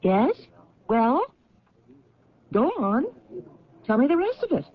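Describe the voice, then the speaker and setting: An actress in an old-time radio drama playing a brisk, deluded wife searching for her husband, arch and insistent. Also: on the high side, very animated, breathy edge, exaggerated voice. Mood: impatient. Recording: dull lo-fi old radio recording.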